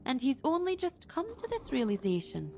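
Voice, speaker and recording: Measured high-voiced chieftain. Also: female voice, sing-song, a little mellow. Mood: skeptical. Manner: deliberate, formal, weighty phrasing. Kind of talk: dramatic fantasy game dialogue. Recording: music behind the voice.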